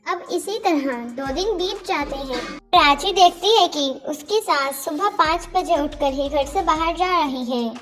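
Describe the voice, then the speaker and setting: A woman's voice: sits high, unhurried, a bit bright, soft background music. A woman narrating a scripted moral story as its storyteller.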